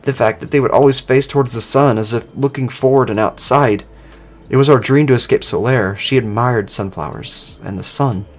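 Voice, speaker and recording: A man's voice: medium pitch, normal speed, lightly acted. A man voicing a wistful, hesitant former assassin woman with lost memories, in online horror fiction. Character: wistful, amnesiac former female assassin, longing for lost friend.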